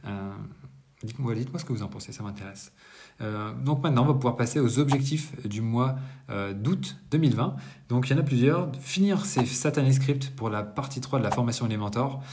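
A man in his thirties giving a casual monthly business debrief to camera in a bright room, talking conversationally with frequent hesitations and self-corrections. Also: medium pitch, lively intonation, a little fast. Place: indoors, bright apartment room.

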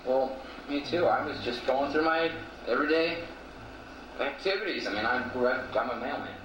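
A man playing a bewildered, chatty dead mailman in an amateur audio drama, halting and rambling as he repeats himself. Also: mid-range voice, lightly acted. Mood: rambling, uneasy. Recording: noisy, echoey, muffled distant home tape.

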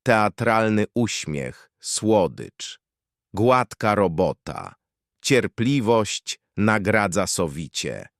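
A man narrates a grim horror tale in fragmentary, poetic prose. His voice is fairly low and very expressive, with wide swings in pitch. The recording is dry and close, letting each deliberate phrase stand alone. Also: no room sound.

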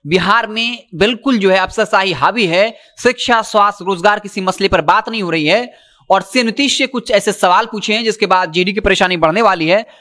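High-pitched man presenting news. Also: fairly quick, bright, forceful tone. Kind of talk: news commentary, reporting to camera. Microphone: handheld microphone.

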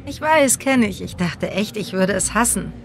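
A woman's voice: medium pitch, lively intonation, moderate pace, lightly acted. An actress playing a sociable young woman in a video game, speaking in a casual, open way. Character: sociable young woman geologist, new in town. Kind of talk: video game dialogue acting.

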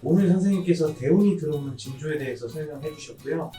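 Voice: mid-range voice, fast talker, dark tone. An interviewer asking polite questions and wrapping up the segment. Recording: roomy, distant mic with music.

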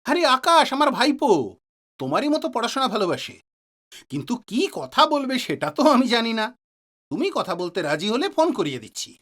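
A man's voice: very high voice, big pitch swings, fairly quick, fairly bright tone, exaggerated voice. An actor playing a reassuring middle-aged male doctor and family friend in an audio drama, explaining with authority and some English words. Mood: friendly.

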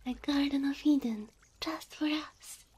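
A woman playing a gentle, affectionate cow girl girlfriend in an ASMR roleplay, breathy and often whispering, tender and full of wonder. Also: high voice, a little slow. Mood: amazed, delighted. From Slavic country.

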